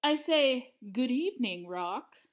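A woman's voice: high-pitched, expressive, takes it slowly. A woman voicing a cheery, clever male trickster monkey in a storybook, exclaiming and theatrical. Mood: cheery, taunting.

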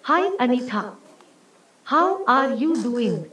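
A woman's voice: fairly high pitch, big pitch swings, slow, a bit bright. A woman's text-to-speech voice reading out a chat message. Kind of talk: synthetic app message readout. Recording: echoey speaker playback in room.

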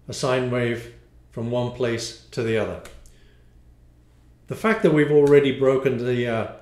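A man in his sixties giving an explanatory, semi-improvised product demo in a measured, hesitant manner with frequent pauses. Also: mid-range voice, a little mellow. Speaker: module designer presenting a demo. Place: small home studio room, indoors. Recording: roomy, echoey home room.

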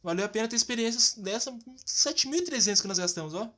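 A woman's voice: on the high side, lively intonation, fast, bright, forceful tone. A woman with a Brazilian accent voicing a carefree, teasing boy best friend in a children's comedy cartoon, breezy and colloquial.

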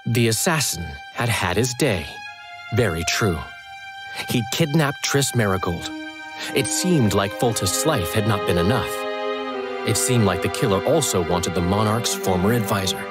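An actor playing an eloquent storyteller, narrating a video game adventure in a literary, theatrical manner. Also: male voice, fairly low pitch, expressive, normal speed, natural voice. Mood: reflective, dramatic. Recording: loud music behind the narration.

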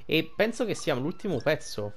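A man's voice: on the high side, big pitch swings, brisk. Casual man commenting on a game. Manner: rambling, colloquial, thinking aloud. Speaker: gameplay video creator. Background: game music.

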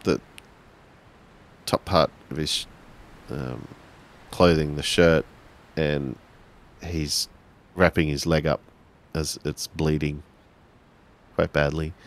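A man improvising narration for his character in a tabletop role-playing game, halting as he searches for words. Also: very deep voice, fairly even intonation.

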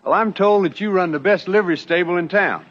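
A young actor playing a polite, smooth-talking stranger in an Old West TV drama, on a muffled vintage soundtrack. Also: male voice, high voice, a little fast, natural voice. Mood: polite, coy. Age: young adult.

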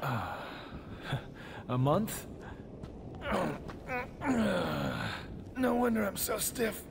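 A cocky, middle-aged action hero, gruff and casual in a video game. His fairly high male voice swaggers wryly over clear background ambience. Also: big pitch swings, takes it slowly, background noise, heavily acted. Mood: groggy, wry. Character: cocky, laid-back middle-aged demon hunter, wry hero.

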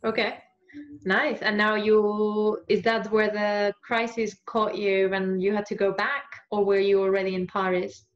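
A woman's voice: mid-range voice, level tone, measured pace. A young woman interviewing a musician over a video call, friendly and often laughing between questions. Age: young adult. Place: room by a bright window. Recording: dull lo-fi video call audio.

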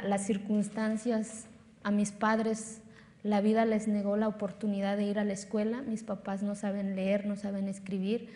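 A woman's voice: medium pitch, mellow. A woman in her thirties from Oaxaca, Mexico, with a Mexican accent and Zapotec as her first language, giving an earnest, emphatic speech on a stage before an audience. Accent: Mexican Spanish. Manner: earnest, emphatic, repeats phrases for force. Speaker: speaker at a talk. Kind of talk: public speech. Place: large hall on a lit stage before an audience. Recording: reverberant hall.

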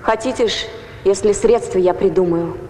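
An actress playing a determined Greek wife who rallies women against war, in a theatrical film style. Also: female voice, mid-range voice, normal speed, dark tone, close to an everyday voice. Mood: resolute. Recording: echoey hall, long reverb, background noise.

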